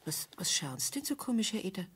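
A woman's voice: mid-range voice, big pitch swings, quick pace, a bit bright, slight background noise. An actress playing a chatty, nosy, fussy older neighbour woman with a Bavarian accent in a radio play. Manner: gossipy, rambling.

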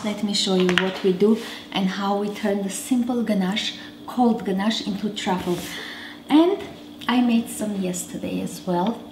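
A woman's voice: medium pitch, a little slow, noisy background. A woman in her thirties hosting a home cooking show, chatting casually as she cooks in her kitchen. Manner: chatty, talks while cooking. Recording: roomy kitchen with faint clatter.

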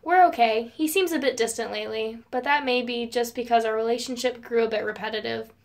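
A woman's voice: fairly high pitch, a little fast, close to an everyday voice. A woman playing a chatty, romantic teenage girl in an amateur audio drama. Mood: relaxed.

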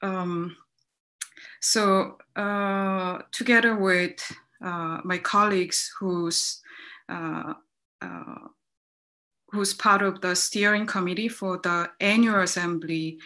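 A woman's voice: on the low side, steady tone, takes it slowly, bright, forceful tone. A woman in her thirties from South Korea, whose first language is Korean, speaking English with a Korean accent as she opens a panel, thinking aloud with many fillers over a laptop video call from a home study. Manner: thoughtful, hesitant, many fillers. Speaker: moderator. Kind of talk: opening remarks on a panel. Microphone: laptop mic on a video call.

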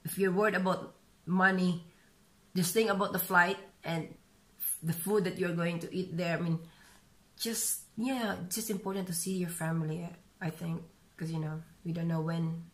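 Filipino lady in her 30s, vlogging at home, lowish voice, lots of you knows. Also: fairly even intonation, moderate pace, rough recording. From Philippines. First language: Visaya. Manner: chatty, many "you know" fillers and restarts. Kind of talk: casual vlog chat, reading comments. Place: bright room at home, indoors.

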